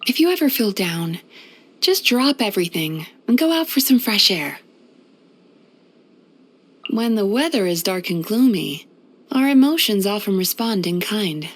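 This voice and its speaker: Casting: ageless female dream spirit and healer for a fantasy game; soothing, courteous, caring counsellor manner, mid-pitched. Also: very animated, a little slow, long pauses. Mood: calm, refreshed. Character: gentle, caring centuries-old female dream-eating spirit therapist. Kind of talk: video game character voice lines.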